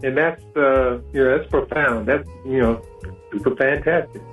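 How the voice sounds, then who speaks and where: A man's voice: mid-range voice, moderate pace, soft background music. A man hosting a radio talk show, easygoing and agreeable with his guest. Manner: easygoing, agreeable, short affirmations. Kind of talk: radio interview. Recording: tinny phone line.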